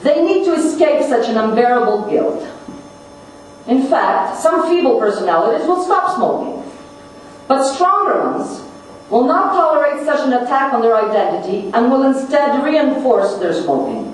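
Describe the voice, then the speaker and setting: A woman reading a prepared formal speech aloud at a conference, with deliberate, emphatic phrasing. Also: high-pitched, lively intonation. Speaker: conference speaker reading another person's message. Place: large echoing conference room. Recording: very echoey hall, distant mic, noisy.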